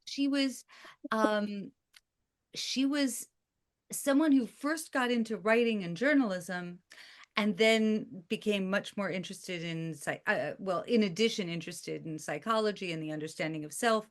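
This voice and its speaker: Woman guest author, mid-pitched, talkative, pauses often to find her words. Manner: chatty, halting with fillers and restarts. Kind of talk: casual podcast conversation.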